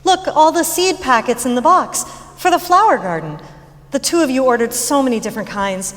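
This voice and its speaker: A woman playing a gentle, anxious mother coaxing her grieving daughter in a staged reading, with halting, tentative speech. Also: high voice, expressive. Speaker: actor in staged reading of musical. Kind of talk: live stage reading acting. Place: high school stage. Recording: echoey hall, long reverb.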